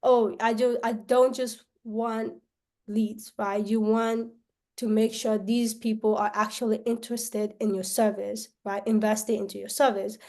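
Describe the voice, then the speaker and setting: Woman, from Myanmar, salesperson, sales pitch on a call, slightly higher voice, lots of tag questions. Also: level tone, unhurried, darkish tone. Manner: pitch broken up by frequent tag questions and restarts.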